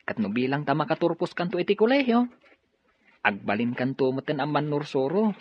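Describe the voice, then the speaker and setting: A man's voice: on the high side, very animated, fairly quick, husky, lo-fi sound. A man acting a supportive, encouraging young friend in Ilocano radio drama, with an Ilocano accent.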